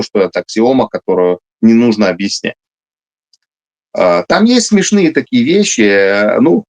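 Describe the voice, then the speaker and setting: A man who is a military officer, answering interview questions emphatically, with frequent hesitations and restarts. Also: fairly low pitch. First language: Russian.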